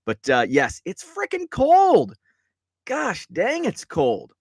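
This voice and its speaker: A man's voice: high voice, huge pitch swings, slow pace. A middle-aged male host chats loosely on his show, sounding tired and a little stuffed up. His voice swings up and down a lot as he rambles through restarts and tangents. The sound is dry and close, with no room echo. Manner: rambling and chatty, with many restarts and asides. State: tired and congested. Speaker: host of a card-collecting show. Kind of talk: casual live-show chat. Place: card room.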